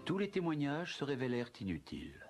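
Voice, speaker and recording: A man's voice: mid-range voice, huge pitch swings, quick pace, loud music behind the voice. A man narrating a children's cartoon story in scripted storybook style. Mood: storytelling.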